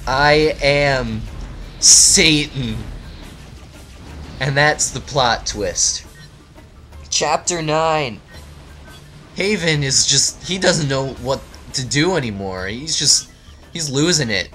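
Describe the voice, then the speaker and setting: A man improvising a mock fairy tale as commentary, with halting, theatrical narration. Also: mid-range voice, lively intonation, pushed and bright, faint music behind. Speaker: let's play commentator and storyteller.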